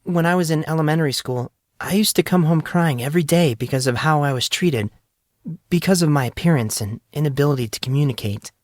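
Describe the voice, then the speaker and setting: A soft, earnest young woman tells a sad story in a raised, gentle voice, as a male narrator puts her on. The sound is dry and close, with no room around it. She speaks in a heartfelt, confiding way. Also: fairly high pitch, brisk, dry recording, voice pushed up, lightly acted. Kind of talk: audiobook character reading.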